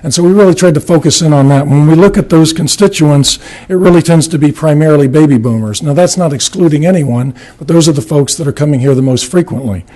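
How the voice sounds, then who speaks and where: Raspy-voiced man presenting at a meeting. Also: on the high side, dark tone. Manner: earnest, slightly halting with fillers. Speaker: marketing firm presenter. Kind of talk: public presentation at a city meeting. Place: city commission meeting room.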